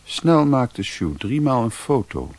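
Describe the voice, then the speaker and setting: An actor playing a reflective adventurer who narrates his journey in a radio play, in measured, sober, literary phrases. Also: mid-range voice, very animated, darkish tone, no echo. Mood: sober, observant. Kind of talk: scripted first-person radio play narration. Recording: hissy old radio play recording.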